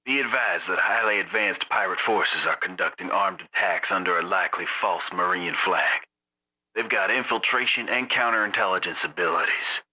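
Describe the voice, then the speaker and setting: A man's voice: sits low, normal speed, sharp tone, husky, heavily acted, low-quality recording. A man playing a stern military officer in a tabletop game, reading a formal, official emergency radio bulletin in a clipped manner. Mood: urgent, grim.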